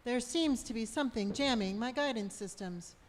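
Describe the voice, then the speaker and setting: A woman's voice: fairly high pitch, moderate pace, a little hiss or hum, close to an everyday voice. A woman playing a chummy, relentlessly cheerful spaceship computer in a live radio play, breezily announcing things. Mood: chirpy. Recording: live hall, slight music behind.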